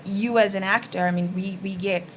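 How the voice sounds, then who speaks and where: A woman's voice: medium pitch, fairly even intonation, normal speed. A woman panelist speaking conversationally in a casual discussion, slipping into imagined dialogue as she explains. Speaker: panelist, actress. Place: festival roundtable, live event. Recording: noisy room ambience.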